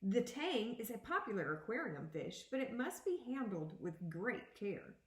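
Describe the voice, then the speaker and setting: A woman reading a children's picture book aloud as a second-grade teacher, with deliberate, explanatory phrasing. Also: medium pitch, very animated. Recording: echoey room.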